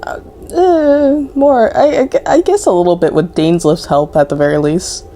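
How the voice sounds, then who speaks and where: Low-voiced young woman, muted tone. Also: fairly even intonation, moderate pace, mellow. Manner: informal, chatty, reactive. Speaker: gaming content creator. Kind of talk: casual gameplay commentary. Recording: faint background noise.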